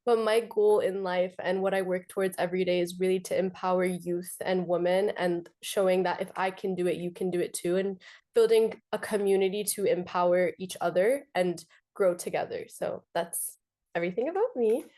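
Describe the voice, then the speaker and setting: A woman's voice: fairly low pitch, fairly even intonation, normal speed, warm tone. A woman in her twenties from Washington, D.C., giving a chatty, upbeat introduction on a video call as a panelist. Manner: upbeat, chatty, laughs often. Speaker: panelist, business owner.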